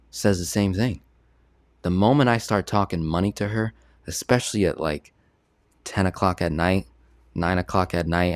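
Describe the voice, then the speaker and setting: A man's voice: low-pitched, clean tone, no room sound, clean studio sound. A man giving a casual online presentation as a personal finance coach, talking conversationally and often checking in with "right?". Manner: conversational, keeps asking "right?".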